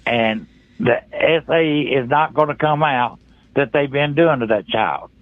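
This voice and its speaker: A man's voice: medium pitch, unhurried, no room sound. A man calling in to a talk show, speaking casually and colloquially, folksy and emphatic, often repeating himself, over a muffled phone line.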